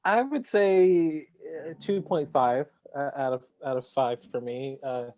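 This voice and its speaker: A man on a panel chatting casually about a book, hesitant and halting as he gives his opinion. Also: medium pitch, a little mellow, dry recording. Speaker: podcast panelist.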